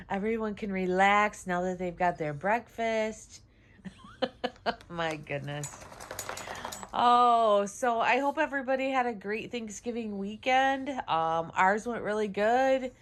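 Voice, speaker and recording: A woman's voice: animated, takes it slowly. Casual home vlogger, woman, stuffy nose, sing-song with her pets, easygoing chatter. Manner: high sing-song baby talk to her dogs; chatty, many "um"s. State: congested, nasal. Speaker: vlogger, dog owner. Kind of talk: casual home vlog chat. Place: home, indoors.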